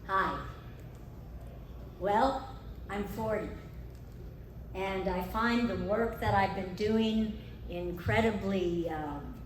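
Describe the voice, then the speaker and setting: A woman's voice: mid-range voice, slow, long pauses, recorded from a distance, voice pushed down, lightly acted. A woman voicing a contented middle-aged woman in an echoey, noisy hall. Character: contented middle-aged woman, warm-hearted about work and life.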